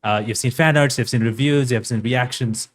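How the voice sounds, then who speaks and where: A man's voice: mid-range voice, moderate pace. A man hosting a podcast interview, speaking in an enthusiastic, upbeat way. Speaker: podcast host. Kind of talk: interview and show plug.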